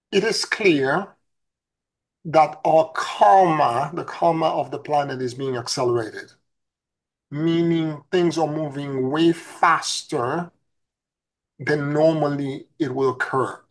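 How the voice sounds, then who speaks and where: A man's voice: on the high side, low-quality recording. A middle-aged man teaching on spiritual practice over a video call, sounding nasal from a head cold, with frequent fillers and restarts. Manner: slow and deliberate, many fillers and restarts. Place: home room with a virtual background. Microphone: webcam video call.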